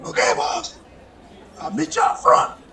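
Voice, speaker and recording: An actor playing a loyal, cheeky male valet and chauffeur in old radio comedy, with a raspy, creaky voice. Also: very high-pitched, huge pitch swings, unhurried, heavy background noise, heavily acted. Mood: teasing. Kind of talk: 1950s radio comedy acting. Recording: live crowd behind.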